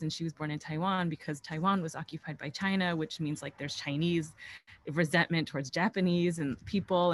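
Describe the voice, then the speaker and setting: Woman in her 30s, earnest, chatty with lots of "like", webcam outdoors, faint noise behind her. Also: sits low. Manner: earnest, fast-flowing with many likes. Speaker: panelist author. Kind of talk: casual panel discussion. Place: backyard outdoors, video call. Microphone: webcam on video call.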